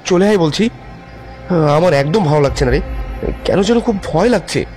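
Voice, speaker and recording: A man's voice: fairly high pitch, animated, normal speed, a little mellow, faint music behind, natural voice. A man playing a frightened, anxious young man in a horror audio drama, tense and pleading.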